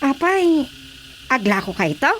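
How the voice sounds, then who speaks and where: An actress with an Ilocano accent playing an excitable, eager village woman in a radio drama comedy. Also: high voice, unhurried, slight background noise. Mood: cheerful, curious. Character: excitable, eager village woman Sita, copying her neighbour.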